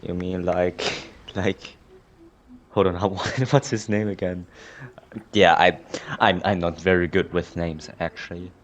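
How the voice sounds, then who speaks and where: Deep-voiced man casually commenting on a game. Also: steady tone, a little hiss or hum. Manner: halting, rambling. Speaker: gamer commenting on a playthrough. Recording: dull lo-fi mic, faint game music. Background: game music.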